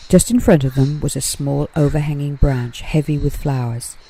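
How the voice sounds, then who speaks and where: Mellow, low-key woman reading a storybook. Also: steady tone, normal speed, rough recording. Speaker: children's story narrator. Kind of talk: scripted storybook read-aloud.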